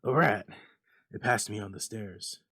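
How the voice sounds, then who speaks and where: A man's voice: lowish voice, measured pace, a bit bright, breathy edge, full character voice. A man voicing a frightened old husband, shaky, faltering and pleading, in a dramatic story reading. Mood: shaken.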